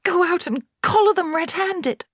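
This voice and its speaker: Read by a woman, a frantic mother shrieks and hisses in a piercing high register. She sounds jumpy and strained, pushing every line with breathy urgency. The voice stays dry and close. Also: normal speed, fairly bright tone, no echo. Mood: hissing urgent. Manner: hissing, frantic. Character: shrill panicking mother, middle-aged woman.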